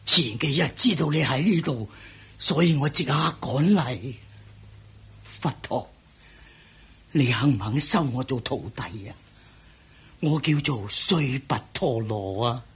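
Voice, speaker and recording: A man's voice: mid-range voice, expressive, slow pace, long pauses. An actor playing an eager, desperate seeker in a radio drama, beseeching and insistent in his delivery. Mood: reverent, earnest. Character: eager, pleading male seeker desperate to become a disciple. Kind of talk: Cantonese radio drama acting.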